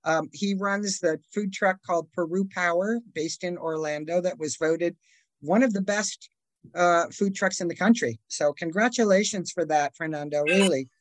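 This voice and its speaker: An interviewer asking a guest questions in a curious way, with quick follow-ups. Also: mid-range voice, rough recording.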